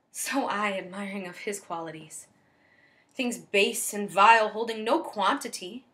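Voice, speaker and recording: A woman playing a lovesick, wounded young woman in a measured, declamatory Shakespearean stage monologue. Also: on the high side, bright, forceful tone. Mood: bitter, hurt. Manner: declamatory, measured verse delivery. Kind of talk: theatrical Shakespearean verse monologue.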